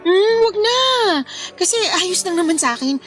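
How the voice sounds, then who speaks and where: High-pitched coy young Filipino woman. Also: expressive, moderate pace, faint music behind. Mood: mildly protesting. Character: Laika, accommodating young woman, playful and coy with friends. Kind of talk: Filipino radio drama dialogue.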